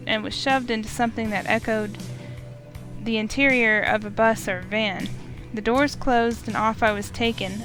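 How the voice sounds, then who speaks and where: Woman narrating a story game, higher voice. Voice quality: steady, expressive reading with small slips. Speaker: streamer reading a visual novel aloud. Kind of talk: first-person story narration.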